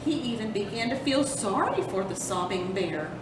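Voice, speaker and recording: A woman narrating a children's storybook as a storytime host, deliberately, with dramatic emphasis on action words. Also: expressive. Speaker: storytime host reading a picture book. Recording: roomy, distant mic with rumbling noise.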